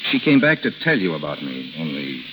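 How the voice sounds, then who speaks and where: A man's voice: extremely low pitch, big pitch swings, a little fast, background noise. A man acting in a 1930s radio drama as a determined, earnest lover, speaking in an insistent, forthright way. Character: determined, earnest male lover confronting his rival. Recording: old 1930s radio recording, music behind.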